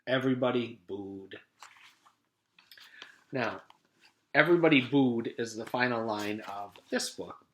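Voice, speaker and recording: A man, a teacher, reading a chapter book aloud to his class in an expressive read-aloud manner. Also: lowish voice, slow pace, long pauses. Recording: echoey room.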